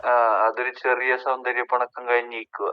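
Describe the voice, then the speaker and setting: A man's voice: medium pitch, monotone, normal speed. A man with a Kerala accent chatting casually over a game voice chat that sounds like a radio. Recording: dull radio-like voice chat, crowd noise.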